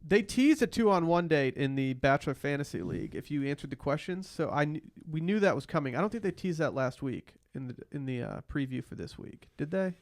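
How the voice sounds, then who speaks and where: A man hosts a casual pop-culture chat. His voice sits a little higher than most men's, and the sound is very dry, with no room echo. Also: expressive, fairly quick, few pauses. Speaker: podcast host. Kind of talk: casual chat about a reality TV show.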